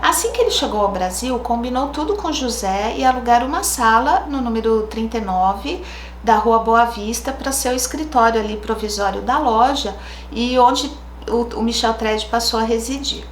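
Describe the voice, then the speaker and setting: Woman, Brazilian Portuguese accent, slightly high voice, casual true crime host, echoey room. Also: expressive, moderate pace, a little hiss or hum. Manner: chatty, thinks aloud with asides.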